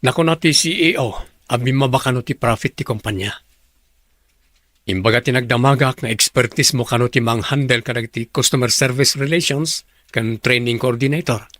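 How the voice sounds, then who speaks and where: A man's voice: mid-range voice, normal speed, fairly bright tone, no echo, natural voice. A man with a Filipino accent playing a businesslike, authoritative company department head in a radio drama. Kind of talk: Ilocano radio drama acting.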